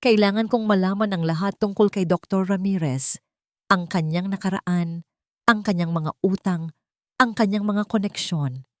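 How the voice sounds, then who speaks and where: A woman plays a wealthy man quietly set on revenge in an audio drama. The delivery is authoritative and controlled, with clipped orders, recorded very dry. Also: medium pitch, dry recording, lightly acted. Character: wealthy, commanding man secretly plotting revenge.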